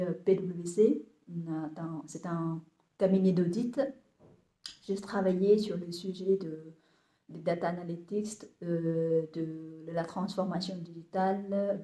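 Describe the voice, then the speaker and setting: Vietnamese-accented woman, 30s, talks slow and stops a lot, echoey room. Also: fairly low pitch, mellow. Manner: hesitant, many fillers and restarts. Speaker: compost business owner. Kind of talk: casual talk to camera, halting.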